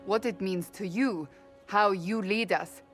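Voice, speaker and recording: A woman's voice: mid-range voice, a little slow, soft background music, lightly acted. An actress playing a resolute, devout warrior woman in a video game, firm and earnest. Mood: solemn, resolute. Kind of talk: video game cutscene acting.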